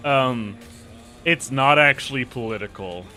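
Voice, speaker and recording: A man's voice: mid-range voice, unhurried, pushed and bright, faint music behind. A man co-hosting a gameplay stream, talking bluntly and animatedly, swearing casually.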